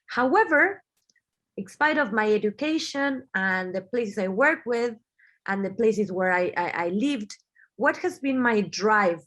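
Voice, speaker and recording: A woman in her thirties from Argentina, giving an earnest online talk over a video call, often restarting her phrases and filling pauses. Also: mid-range voice. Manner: earnest, halting, many restarts and fillers. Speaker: webinar speaker. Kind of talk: online presentation.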